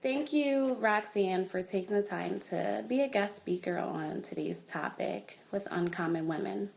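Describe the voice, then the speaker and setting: Gravelly-voiced woman hosting a podcast. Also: mid-range voice, unhurried. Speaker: podcast host. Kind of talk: interview introduction.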